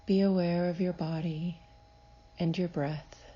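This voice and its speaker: Low, slow, soothing woman guiding meditation. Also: steady tone, husky, muffled recording. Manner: soothing, measured, gentle instruction. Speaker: psychologist leading a guided meditation. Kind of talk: guided meditation, scripted.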